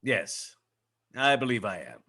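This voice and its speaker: A man's voice: mid-range voice, sing-song, unhurried, pushed and bright, full character voice. A man playing a proud, gallant, old-fashioned starship captain with formal, theatrical speech in a script table read. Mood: earnest, defiant. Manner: formal, theatrical, clipped orders.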